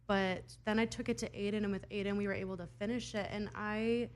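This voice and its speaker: An earnest young woman from Idaho, a singer-songwriter, talks through her answers in a middle-pitched voice. She sounds thoughtful and lets small laughs slip in between her thoughts. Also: little pitch movement, fairly quick. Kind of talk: interview answers.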